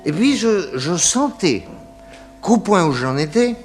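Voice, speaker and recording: An actor playing a cocky, excitable male private detective in a 1960s French radio comedy serial, theatrical and comically hesitant as he recounts his case. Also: sits high, slow pace, soft background music, exaggerated voice. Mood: explanatory, boastful.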